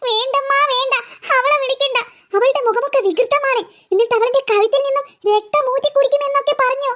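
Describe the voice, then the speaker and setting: A woman voices a jumpy young girl with an extremely high, squeaky voice. She pleads insistently and tends to stammer, in the manner of a comic horror cartoon. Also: steady tone, a little fast, a bit bright, muffled recording. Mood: insistent, frightened. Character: easily frightened, insistent young girl pleading with her mother. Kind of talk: comic horror skit acting.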